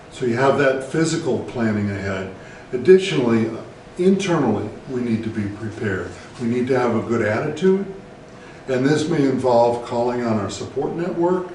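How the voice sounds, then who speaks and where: A man with an American accent, a therapist presenting scripted educational advice in a measured, deliberate way. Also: medium pitch, darkish tone. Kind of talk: scripted educational talk to camera. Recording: very echoey room, distant mic, faint noise.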